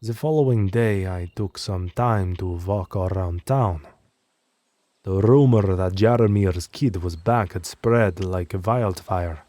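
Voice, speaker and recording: A man's voice: low-pitched, takes it slowly, mellow. A man reading a scripted first-person horror story as narrator.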